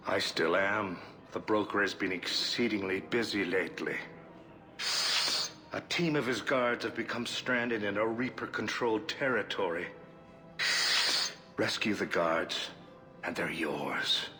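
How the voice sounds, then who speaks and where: An actor playing a courteous alien financial advisor and shady agent in a video game, speaking in a formal, measured, businesslike way. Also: male voice, fairly low pitch, bright, forceful tone, soft background music.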